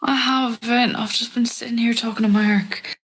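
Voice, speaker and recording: An Irish woman chatting on a stream, emphatic and quick to protest with repeated denials. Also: on the high side, steady tone, a bit bright. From Ireland. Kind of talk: casual banter.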